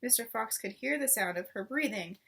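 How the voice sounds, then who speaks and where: Casting: woman storybook reader, high-pitched, expressive, recorded some distance from the mic. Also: a little fast, vocal fry, slightly rough. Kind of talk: expressive children's read-aloud narration.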